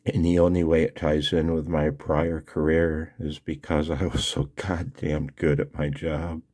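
An older man with a gravelly, husky voice talking casually and haltingly in a personal, emotional, confessional video blog. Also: very deep voice, flat delivery, a little mellow. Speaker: amateur radio video blogger. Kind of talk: personal screen-share vlog, casual monologue.

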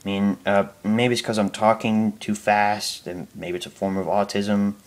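Low-voiced young man who stutters. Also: little pitch movement. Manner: stutters, halting with many fillers and restarts. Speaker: YouTuber answering viewer questions. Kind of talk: casual Q&A talk to camera.

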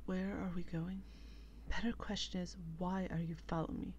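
A woman playing an exasperated, protective female vampire in a breathy, whispery ASMR role-play, with slow and dramatic reproach. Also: fairly low pitch, little pitch movement, a little mellow, muffled recording, a little hiss or hum, lightly acted. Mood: irritated, weary.

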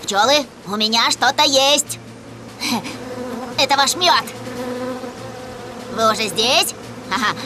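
High, brave young helicopter robot. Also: female voice, takes it slowly, pauses often, background noise. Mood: urgent. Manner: urgent, encouraging. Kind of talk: children's cartoon dub.

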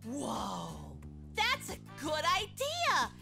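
A woman voicing a brash, excitable, naive teenage ninja girl in a comedic game parody dub. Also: very high-pitched, drawn-out words, creaky, heavily acted. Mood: awed, excited. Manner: excitable, exclaiming, slangy. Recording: loud music behind the voice.

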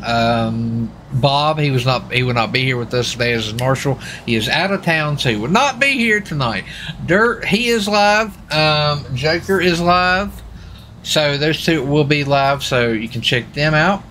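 A man's voice: a little high, a bit bright, a little hiss or hum. A man chatting casually and informally to viewers as he streams a game, in a folksy way. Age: adult with young children. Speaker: live streamer. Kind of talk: casual live stream chat while gaming.

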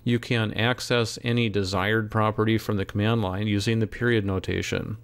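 A man narrating a software tutorial as an instructor, in a measured, step-by-step way. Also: on the low side, steady tone, gravelly, dry recording. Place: close, dry voice-over for screen recording.

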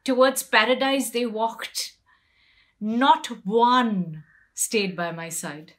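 A middle-aged woman voicing a wronged, bitter and sorrowful mythical queen in a measured, deliberate dramatic poetry recitation with hushed phrases. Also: on the high side. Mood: anguished, indignant. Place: small plain room indoors.

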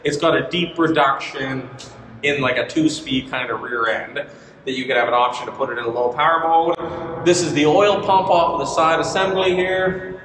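Guy in his 30s walking thru axle parts, bright voice, echoey hall, faint hiss in the background. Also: fairly high pitch, normal speed. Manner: relaxed, self-correcting, chuckles at himself. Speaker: vlogger touring a truck axle factory. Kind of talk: casual walkthrough explaining parts. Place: large glass-walled indoor lobby. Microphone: camera mic from a few feet away. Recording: echoey hall, distant mic, faint noise.

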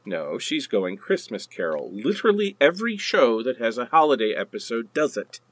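Man playing animated, sarcastic, needy boyfriend. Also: mid-range voice, normal speed, no echo. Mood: sardonic. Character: pleading, sarcastic male partner, adult. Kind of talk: comedic radio play acting.

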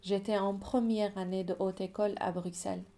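A woman from Iran, Persian her first language, reads a calm, reflective voice-over narration. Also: mid-range voice, fairly quick.

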